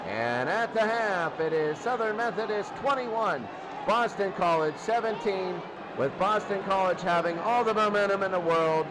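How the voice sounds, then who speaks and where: A man's voice: high voice, animated, moderate pace. A man doing informal football play-by-play, thinking aloud as he calls the game. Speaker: play-by-play announcer. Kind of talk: American football radio-style commentary. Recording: loud crowd and noise behind. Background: stadium crowd roar.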